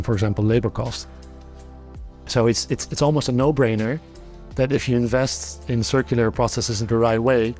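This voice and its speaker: A man's voice: mid-range voice, darkish tone. A middle-aged man from the Netherlands with a Dutch accent, speaking as a company founder in a documentary interview, thoughtful and often restarting his sentences. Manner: thoughtful, many restarts and fillers.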